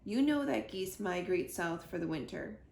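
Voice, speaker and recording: A woman voicing a calm, caring robot mother who speaks in a measured, formal way, in a storybook reading. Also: on the low side, gravelly, roomy sound. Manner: measured, formal, without contractions.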